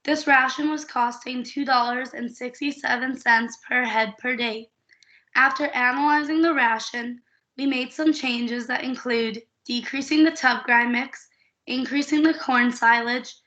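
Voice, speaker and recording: A woman's voice: sits high, measured pace. A young woman from Saskatchewan, a student nutrition coordinator, reading a prepared class presentation steadily and carefully. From Saskatchewan, Canada. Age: young adult. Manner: steady, reads figures carefully. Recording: dull lo-fi recording.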